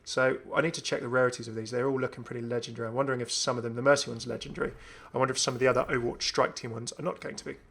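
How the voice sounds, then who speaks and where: A man's voice: mid-range voice, level tone, rapid-fire, vocal fry, hardly any pauses, a bit bright, slightly rough. A young man giving casual, enthusiastic commentary on a video game. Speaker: gaming video host.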